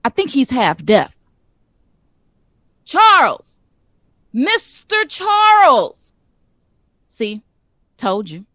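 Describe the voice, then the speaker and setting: Sassy modern-day nurse, high-pitched, animated, unhurried, playful banter. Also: female voice, no echo, clean studio sound, full character voice. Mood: gossipy. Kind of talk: naturalistic audio drama acting.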